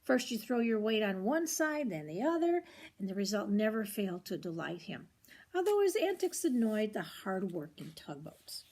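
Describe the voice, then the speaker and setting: Husky older woman reading a children's story. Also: mid-range voice, moderate pace. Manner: expressive, grandmotherly, commenting on the pictures. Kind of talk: read-aloud storytelling with short asides.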